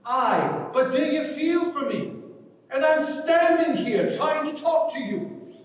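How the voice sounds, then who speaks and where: An actor playing a troubled, earnest grown son of a farmer, in a staged play reading. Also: male voice, extremely high pitch, lively intonation, slow pace, full character voice. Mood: insistent, hurt. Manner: earnest, insistent. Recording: very echoey hall, distant microphone.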